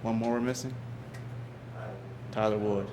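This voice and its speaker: A man with a lowish voice gives informal remarks at a town board meeting, introducing his lifeguards and filling pauses with "uh." The recording comes from a meeting chamber with steady background noise. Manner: relaxed, with frequent "uh" pauses. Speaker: ocean rescue supervisor introducing lifeguards.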